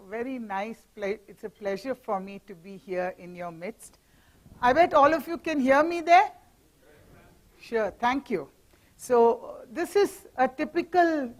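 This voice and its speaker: A middle-aged woman with an Indian English accent speaks on a mic to a company audience in a hall. Her voice sits a little high, and she keeps the talk light with jokes and teasing asides to the room. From Mumbai, India. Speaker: guest speaker, professor. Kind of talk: corporate talk with slides. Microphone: handheld or stand mic.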